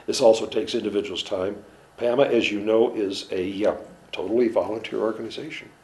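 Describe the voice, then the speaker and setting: A man who treats musicians and dancers, giving a measured, earnest, halting explanation in an interview. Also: lowish voice, steady tone, a little mellow. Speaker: clinician in performing arts medicine.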